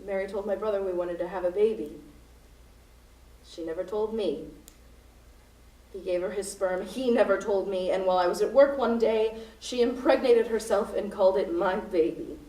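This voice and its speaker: A woman in a student radio play acting a desperate, unhinged hostage-taker, melodramatic, moving between hushed recollection and frantic cries. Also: medium pitch, normal speed, natural voice. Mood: numb, hushed. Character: unhinged, desperate female hostage-taker confessing her crimes. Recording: roomy, distant recording with music behind.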